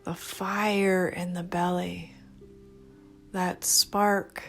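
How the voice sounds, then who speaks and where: A woman leading a guided meditation in a gentle, soothing, unhurried manner, speaking in short phrases. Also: lowish voice, level tone, vocal fry. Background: background music.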